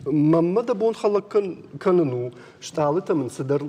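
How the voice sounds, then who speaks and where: Male co-presenter, dark husky voice, conversational news delivery, reverberant sound. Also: on the high side, expressive, moderate pace. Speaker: TV talk show co-host.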